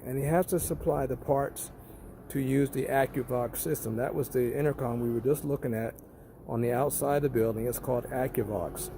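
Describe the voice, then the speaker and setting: Guy doing a casual walkthrough, creaky low-key voice, explains stuff plainly and repeats himself, bit of street noise behind. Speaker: tenant recording a walkthrough. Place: walking around an apartment building parking lot.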